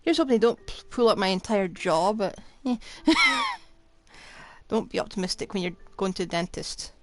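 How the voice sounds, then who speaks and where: A woman's voice: medium pitch, very animated, moderate pace, noisy background. Woman chatting on a gaming livestream. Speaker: live streamer. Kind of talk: casual gaming livestream chat. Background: game music.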